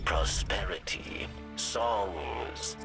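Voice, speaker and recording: A grave alien warrior tells a game's story in halting, world-weary phrases. The voice is deep and thick with gravel, with clear music behind it. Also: male voice, big pitch swings, heavily acted. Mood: solemn, mournful. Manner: solemn, halting, dramatic. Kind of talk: video game story narration.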